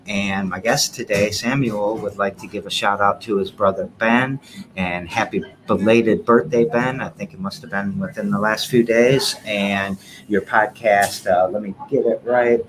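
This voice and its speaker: A man with a lowish voice hosts a podcast, chatting loosely and informally with his guest. He keeps things relaxed and friendly. Steady background noise runs under his voice. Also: a little slow. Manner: laid-back, friendly, loose phrasing. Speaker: podcast host. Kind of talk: casual interview chat.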